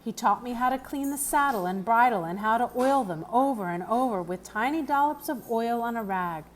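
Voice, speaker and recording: A woman giving an expressive read-aloud of a children's novel as the narrator. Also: on the high side, normal speed. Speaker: book reader.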